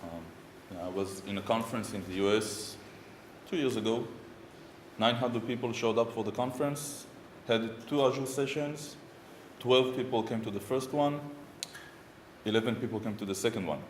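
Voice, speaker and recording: A man's voice: on the low side, moderate pace, slight background noise. A man in his thirties with an Israeli accent, giving an informal technical talk at a conference with many ums and uhs. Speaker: conference speaker. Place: conference room with projector screen. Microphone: far room mic. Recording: echoey hall from far off.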